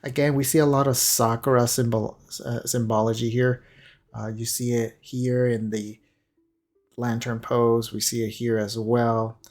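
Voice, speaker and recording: A man talks through what he is analysing in a casual commentary style. He is hesitant and halting, working out his thoughts aloud at an ordinary middling pitch. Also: level tone, darkish tone. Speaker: commentator on a game character trailer.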